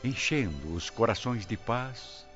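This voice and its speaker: A man's voice: mid-range voice, animated, slow, a bit bright. A man with a Brazilian accent narrating a radio drama in a solemn, scripted style. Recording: dull lo-fi audio with music.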